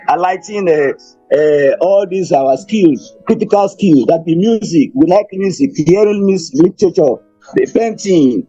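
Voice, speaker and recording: A Nigerian man delivers a formal talk with a husky, mellow voice set a little high. He speaks deliberately, phrase by phrase, like a seasoned guest lecturer. The audio is dull, with music behind him. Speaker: invited speaker, professor and motivational speaker. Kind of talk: formal conference presentation.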